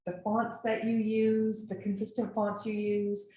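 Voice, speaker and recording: A woman from Nova Scotia with a Canadian accent gives an informal webinar presentation in an earnest, enthusiastic way, pausing often as she goes. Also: mid-range voice, flat delivery, mellow. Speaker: webinar presenter, brand strategist. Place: indoor echoey room, online webinar. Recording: very echoey room, faint noise.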